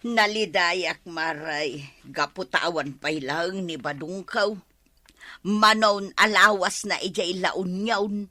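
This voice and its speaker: A woman's voice: lowish voice, takes it slowly, pushed and bright, dry recording, exaggerated voice. A woman playing a hard-up, plaintive village neighbour in a comedy drama. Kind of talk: comedy radio drama acting in Ilocano.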